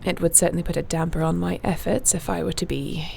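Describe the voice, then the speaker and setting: Gravelly, low-voiced gentle warrior woman, acted by a woman. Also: steady tone, fast, slight background noise. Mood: wry, reassuring. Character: gentle, wry woman warrior shifter with healing magic. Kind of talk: fantasy audio roleplay, intimate one-sided dialogue.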